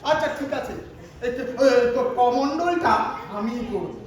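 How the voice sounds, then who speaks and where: A man's voice: very high voice, lively intonation, moderate pace. A man playing a bossy, exasperated leader of amateur players in a theatrical, exclamatory stage-comedy style. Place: indoor stage, recorded from afar. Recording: echoey hall, distant mic, faint noise.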